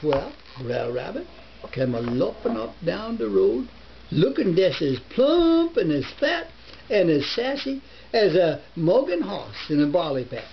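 An older man in his seventies reads a folk tale in a put-on American Southern dialect, halting now and then like a grandfather at story time. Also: sits high, big pitch swings, gravelly. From northern United States. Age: older, 70s. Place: book-lined living room. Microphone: camera a short distance away. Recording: muffled home camera recording with hiss.

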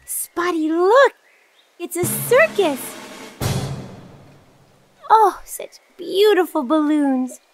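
A very high-voiced little cartoon girl talks to young viewers with cheerful curiosity. Her delivery is lively and swooping, kind and questioning, over light background music. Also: full character voice. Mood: excited, encouraging. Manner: slow, clearly enunciated, questioning, exclaiming. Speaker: host character of a children's cartoon. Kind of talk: children's cartoon narration to viewers.

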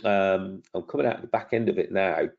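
Croaky middle-aged man presenting. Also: low-pitched, normal speed, darkish tone. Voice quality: gravelly, husky. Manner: persuasive, self-promoting, asks the audience questions. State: croaky from lingering illness. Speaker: property trainer hosting a webinar. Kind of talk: webinar presentation over slides. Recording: dull lo-fi webinar audio.

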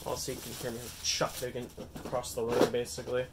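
A man's voice: medium pitch, fast talker, background noise. Nasal young man with a cold. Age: young adult. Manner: excitable, rambling, self-mocking. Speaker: card-opening channel host. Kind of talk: casual unboxing vlog. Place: indoors at a table, hands on camera.

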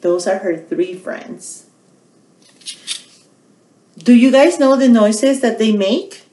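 A middle-aged woman hosting a storytime for small children, teaching bilingually and repeating phrases in a deliberate, questioning way. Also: a little high, dark tone, echoey room. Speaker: children's storytime host at a public library. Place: small quiet indoor room.

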